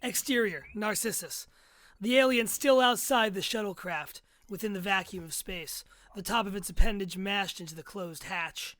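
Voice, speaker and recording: A woman narrates screenplay action as a stage directions reader. Her voice is bright and forceful. It is recorded dry, with hardly any room around it. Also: mid-range voice, moderate pace, no room sound.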